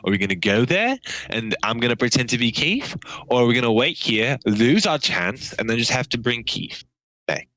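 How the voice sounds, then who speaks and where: A man playing a tabletop game, eagerly pitching schemes to his party. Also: sing-song, normal speed, sharp tone, dry recording, rough recording. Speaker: player. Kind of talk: casual tabletop play.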